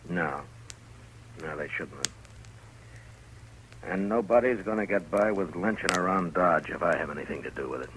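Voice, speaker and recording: An actor playing a principled, world-weary frontier marshal, terse and measured, in 1950s radio style. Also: male voice, sits low, noisy background, close to an everyday voice. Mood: brooding. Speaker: series lead.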